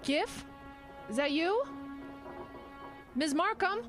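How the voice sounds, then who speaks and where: A woman's voice: very high voice, expressive, slow, exaggerated voice. An actress playing a sceptical, bossy woman bureaucrat in an audio drama, with a sardonic, no-nonsense, brusque manner. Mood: impatient, commanding. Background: music.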